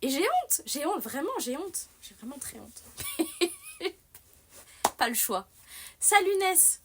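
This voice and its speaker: A young adult woman hosting a livestream in casual chat. She rambles and restarts a lot, with plenty of fillers and a self-mocking, animated way of talking. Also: high-pitched, takes it slowly, noisy background. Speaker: livestream host.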